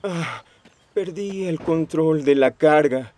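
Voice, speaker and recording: A man's voice: fairly high pitch, slow, a little mellow. A man voicing an apologetic, regretful worker in a Latin American cartoon dub. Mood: sorry.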